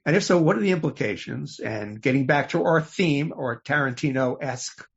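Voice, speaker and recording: A man's voice: mid-range voice, lively intonation, normal speed, vocal fry, husky. A man hosting a conversational podcast interview. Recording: slightly muffled, dull audio.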